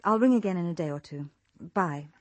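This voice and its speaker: Radio drama investigator played by a woman: young, British, lower register, practical and composed. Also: expressive, brisk, a little hiss or hum, natural voice. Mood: composed, reassuring. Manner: composed, businesslike. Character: calm, practical young female private detective.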